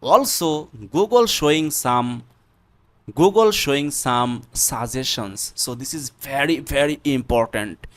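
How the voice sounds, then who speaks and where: A man with a Bangladeshi accent presents an unscripted software tutorial, explaining step by step in a halting, repetitive way. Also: medium pitch, animated. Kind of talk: unscripted screen-recorded tutorial.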